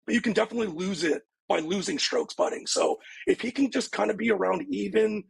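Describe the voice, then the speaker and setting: A man's voice: high-pitched, brisk, vocal fry, breathy edge. A man giving golf betting picks in casual chat, laid back with lots of fillers.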